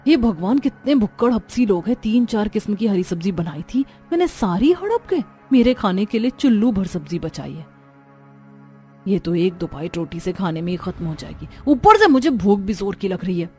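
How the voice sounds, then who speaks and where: A woman plays a put-upon young daughter-in-law in a cartoon family tale. She grumbles dramatically, full of self-pity, in a mellow mid-pitched voice. Faint music sits underneath. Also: very animated, moderate pace, natural voice. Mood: exasperated. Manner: complaining, dramatic. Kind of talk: animated Hindi family story dialogue.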